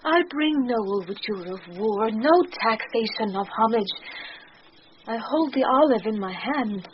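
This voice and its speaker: Bold young british messenger in disguise, theatrical shakespeare radio play, dull sound with some hiss. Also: female voice, on the high side, animated, a little slow. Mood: earnest, secretive. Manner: theatrical, declamatory. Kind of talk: Shakespearean radio drama acting. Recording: dull, noisy old radio drama recording.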